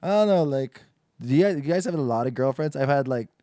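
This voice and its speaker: A man's voice: mid-range voice, animated, fast talker, warm tone, no echo, clean studio sound. A man in his twenties hosting a casual podcast chat, rambling loosely and swearing often. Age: 20s. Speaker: podcast host.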